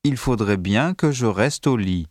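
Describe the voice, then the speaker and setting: A man reading a school dictation text slowly and deliberately, speaking the punctuation marks aloud. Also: on the low side, expressive, darkish tone, no echo, studio quality. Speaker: dictation reader.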